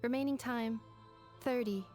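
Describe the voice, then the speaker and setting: A woman voicing a polite, logical young female robot in an anime game, speaking in a formal, measured, matter-of-fact way. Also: fairly high pitch, darkish tone, faint music behind, lightly acted. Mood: composed.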